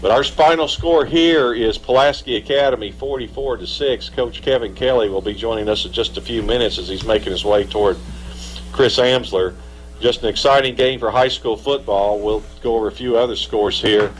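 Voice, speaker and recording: A man calls the game for a live radio broadcast, keeping an easy, upbeat flow as he runs through scores. His voice sits at an average pitch. A faint hiss runs under the broadcast. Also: normal speed, rough recording. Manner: upbeat, conversational, asks long setup questions. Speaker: play-by-play announcer. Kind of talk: live sports radio commentary.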